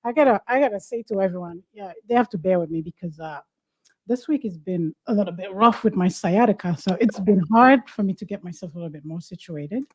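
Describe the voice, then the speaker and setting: A woman's voice: mid-range voice, lively intonation, brisk, breathy edge, rough recording. A woman, hosting a talk show in a chatty, casual way. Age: 40s. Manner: chatty, warm teasing, laughs often. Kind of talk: casual chat.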